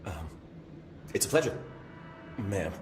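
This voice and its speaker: A man's voice: low-pitched, very animated, takes it slowly, echoey room, soft background music, full character voice. A man playing a chatty, flustered security chief in a video game, rambling and joking informally. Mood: flustered, deferential. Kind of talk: video game cutscene acting.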